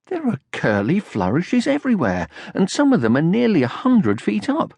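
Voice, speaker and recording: Man as Moomin Troll, earnest high-voiced young troll. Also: wildly swooping pitch, normal speed, gravelly, darkish tone, dry recording, full character voice. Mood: amazed. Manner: earnest, exclamatory. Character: Moomin Troll, young male troll, earnest, plucky, easily indignant. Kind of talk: children's storybook character voice.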